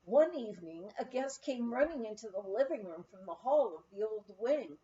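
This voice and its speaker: A woman reading a memoir aloud as an expressive storyteller, in the manner of an audiobook narrator. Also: fairly high pitch, moderate pace, lo-fi sound. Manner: storytelling, acts out quoted dialogue. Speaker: audiobook reader.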